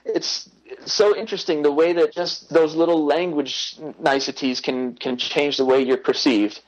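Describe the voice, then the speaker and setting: American guy chatting in an interview, relaxed, lots of um and uh, laughs at himself. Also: on the high side, moderate pace, dull audio. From United States. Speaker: interview guest, language learner. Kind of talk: casual interview talk.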